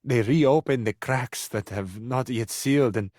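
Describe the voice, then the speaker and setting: A man's voice: mid-range voice, a little slow, darkish tone, dry recording, studio quality, lightly acted. A man voicing a suave, passionate living cabinet in a video game, with an Italian accent and a florid, flirtatious, earnest way of talking. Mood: pained, pleading. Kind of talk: theatrical romantic video game voice acting.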